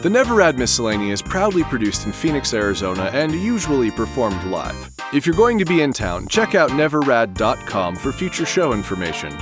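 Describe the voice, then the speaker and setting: A man's voice: medium pitch, sing-song, fairly quick, few pauses, music in the background. A man reading scripted end credits as the announcer of an audio production.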